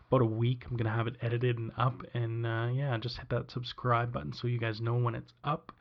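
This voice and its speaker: Guy hosting a podcast, mid-pitched voice, laid back with uhs, dull muffled sound. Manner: relaxed, loose, with fillers. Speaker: podcast host. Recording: muffled lo-fi recording.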